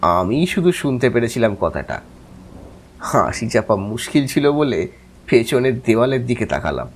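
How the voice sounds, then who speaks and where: A man narrating a scripted audio story in the first person, with a wry, expressive delivery. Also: mid-range voice, normal speed, slight background noise.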